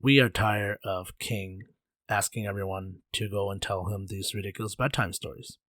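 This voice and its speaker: A man's voice: fairly low pitch, fairly even intonation, moderate pace, fairly bright tone, no echo, clean studio sound, voice pushed down, natural voice. A man voicing weary, pleading villagers in a folktale, talking in a whiny, slangy way.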